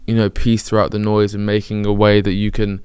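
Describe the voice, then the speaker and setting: A man's voice: on the low side, completely flat delivery, a little fast, a little mellow, clean tone, no room sound. A thirty-year-old man with a British accent, talking candidly and a little rambly about his own life, often catching and correcting himself. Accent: British English. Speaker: vlogger. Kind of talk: reflective personal monologue.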